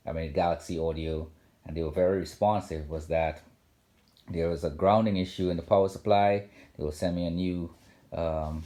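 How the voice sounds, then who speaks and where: A man giving a casual, unscripted home video walkthrough, talking haltingly with frequent ums and self-corrections. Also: low voice, mellow. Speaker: home theater vlogger. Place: home theater room indoors.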